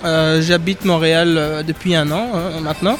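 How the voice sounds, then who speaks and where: Young male singer in his twenties, high and slow-spoken, casual interview, music in the background. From Rwanda. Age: 20s. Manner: relaxed, hesitant with many fillers. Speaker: singer in a vocal group. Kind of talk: casual interview and a cappella singing.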